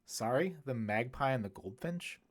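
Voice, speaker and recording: Man acting out a teenage witch girl, curious, moody, questioning, animated pitch, audiobook dialogue. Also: moderate pace, close to an everyday voice. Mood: puzzled. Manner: questioning, direct.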